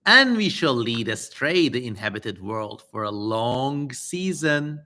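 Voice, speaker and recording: A man's voice: mid-range voice, big pitch swings, slow, sharp tone, exaggerated voice. A man voicing an ominous demon in a dramatic scripture reading, proclaiming prophecy in a menacing, grandiose manner. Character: ominous male demon prophesying doom to a king.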